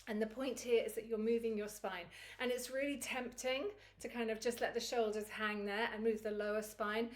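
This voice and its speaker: A woman with a croaky, recovering voice teaching a Kundalini yoga routine in a chatty, encouraging way. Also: on the high side, flat delivery, normal speed. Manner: chatty and encouraging, laughs easily. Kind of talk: guided yoga class instruction.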